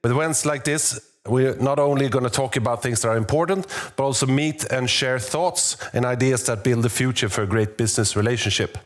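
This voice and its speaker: A man's voice: mid-range voice, fairly quick. A man opening an event as its moderator, welcoming and upbeat. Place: office event space. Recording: faint background music.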